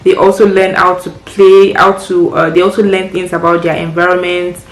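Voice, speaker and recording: A woman's voice: fairly low pitch, level tone, normal speed. Chatty woman, echoey room. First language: Yoruba. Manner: chatty, friendly, practical tips. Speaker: parent vlogger. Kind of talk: casual advice vlog. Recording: echoey room with faint music.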